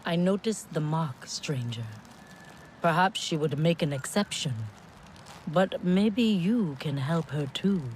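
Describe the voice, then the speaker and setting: An actress playing a guarded but kind female caretaker who speaks calmly to a stranger. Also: low-pitched, takes it slowly, slight background noise, rough recording. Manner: calm, guarded.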